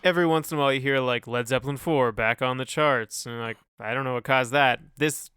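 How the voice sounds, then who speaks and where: A man's voice: mid-range voice, fairly even intonation, a little fast, fairly bright tone, clear, resonant tone, no echo, studio quality. A man co-hosting a podcast in casual chat, talking in a hesitant, rambling way full of hedges. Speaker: podcast co-host.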